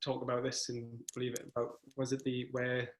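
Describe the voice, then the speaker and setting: A man co-hosting a casual book chat, talking in a relaxed, hesitant way. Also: mid-range voice, fairly quick, echoey room. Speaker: co-host. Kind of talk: casual conversation.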